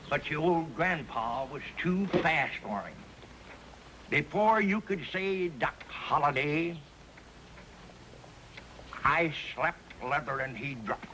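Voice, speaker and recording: Casting: folksy old American prospector, male, highish and swooping voice, full of bluster, vintage TV western. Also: noisy background. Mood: boastful. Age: older. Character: boastful, excitable old prospector grandfather of the Old West. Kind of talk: 1950s television western acting. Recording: old TV soundtrack with background music.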